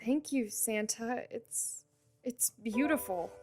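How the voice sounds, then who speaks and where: A woman's voice: a little high, slow, a little mellow, faint music behind. A young woman playing a sweet, earnest, affectionate graduate in an audio drama. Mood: moved, subdued. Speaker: actor in an audio play.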